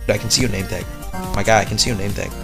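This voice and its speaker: A man's voice: fairly low pitch, fairly even intonation, very fast, creaky, over music. A young man casually commentating a mobile game, rambling and joking in slangy chat. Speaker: gaming YouTuber.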